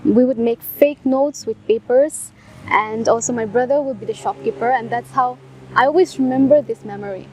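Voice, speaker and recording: High-pitched Indian woman reminiscing. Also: normal speed, a little mellow, poor audio. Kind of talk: personal recollection. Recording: faint background ambient noise.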